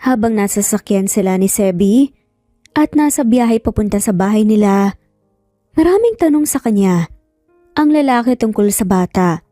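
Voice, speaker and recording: A woman's voice: mid-range voice, expressive, normal speed, long pauses, mellow, no echo, clean studio sound, soft background music. A woman storyteller reading scripted narration of a romance story.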